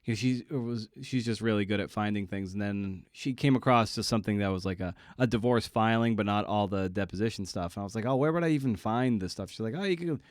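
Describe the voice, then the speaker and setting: Low-voiced North American man, dry close sound. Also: fast talker, hardly any pauses, dry recording. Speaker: podcast host. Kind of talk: casual podcast interview.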